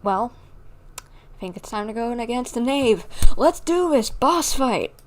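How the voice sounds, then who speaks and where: A woman livestreaming a game, commenting and reading dialogue aloud, excitable and cheering herself on. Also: on the high side, unhurried, a little hiss or hum. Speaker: game streamer.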